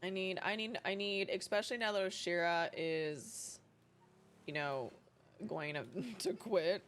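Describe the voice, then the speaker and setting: A woman's voice: on the low side, a little slow, fairly bright tone. A woman streamer whose first language is not English, chatting casually with her viewers, swearing freely and laughing often.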